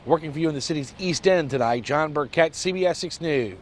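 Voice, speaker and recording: A man narrating a TV news package as a field reporter, in a crisp reporter's delivery. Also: fairly high pitch, brisk, slight background noise.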